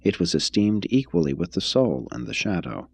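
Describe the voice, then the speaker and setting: A man narrates a scripted text in a very low, husky voice. His delivery is measured and formal, yet the pitch moves in lively swings. The sound is dry and close. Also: no echo. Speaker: reader, narrator. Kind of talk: scripted audiobook-style reading of an expository text.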